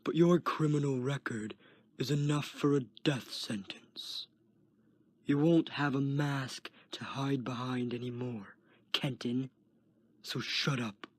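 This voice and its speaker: A man's voice: slow, husky, dry recording, clean studio sound. Man acting out a smug, sarcastic prison guard. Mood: taunting. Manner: sarcastic, dismissive, low growled delivery.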